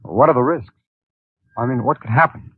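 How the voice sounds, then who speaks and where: An actor playing a hesitant, uneasy husband. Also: male voice, mid-range voice, moderate pace, slightly rough, dry recording, natural voice. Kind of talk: 1950s radio drama acting. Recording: tinny lo-fi 1950 radio broadcast.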